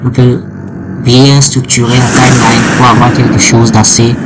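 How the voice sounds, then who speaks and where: A man giving an unscripted step-by-step software tutorial, halting and often repeating his words. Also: medium pitch, level tone, poor audio. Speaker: programming tutorial presenter. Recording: loud music behind the voice. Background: music.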